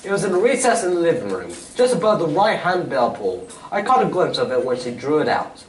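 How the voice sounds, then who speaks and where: In a distant, echoey hall recording, a man acts a confident gentleman detective of old radio drama. The voice is fairly high and very animated, with formal, old-fashioned turns of phrase and a theatrical, explanatory manner. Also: fairly quick. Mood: assured, explanatory. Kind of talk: 1940s-style radio drama stage acting. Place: community theatre stage reading. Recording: echoey hall, distant mic, faint noise.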